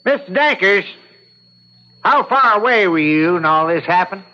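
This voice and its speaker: A man's voice: sits high, lively intonation. A man acting a methodical courtroom attorney, formal and persistent in questioning, in an old-time radio drama. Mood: brisk, assured. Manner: formal, deliberate, probing. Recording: dull lo-fi vintage radio recording.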